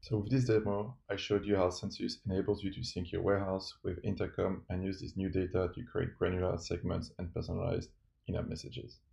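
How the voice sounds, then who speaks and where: Very gravelly, low-voiced man presenting a demo. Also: flat delivery, a little fast, dark tone. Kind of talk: scripted tutorial narration over a screen recording.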